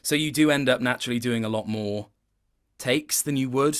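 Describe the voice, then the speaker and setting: A man's voice: mid-range voice, moderate pace, clear, resonant tone. A man speaking as a guest voice actor in an interview, explaining his work reflectively in stop-start phrases. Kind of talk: interview chat about performance work.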